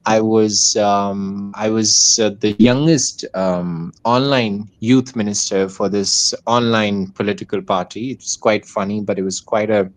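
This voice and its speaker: A man's voice: lowish voice, fairly even intonation, unhurried, hardly any pauses. A nineteen-year-old man with a Pakistani accent, chatting as an interview guest and rephrasing as he goes. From Hyderabad, Pakistan. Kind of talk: casual interview answers.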